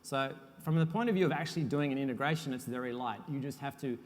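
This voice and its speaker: A man giving a technical conference talk as a software architect, relaxed and self-deprecating, with frequent uhs. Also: mid-range voice, quick pace. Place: conference hall. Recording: long hall reverb.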